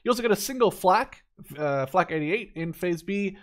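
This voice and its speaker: Man, twenties, slightly high pitch, casual gaming commentary, unscripted, rambling, opinionated. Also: expressive, normal speed. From Netherlands. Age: 20s. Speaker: gaming YouTuber reviewing a game deck.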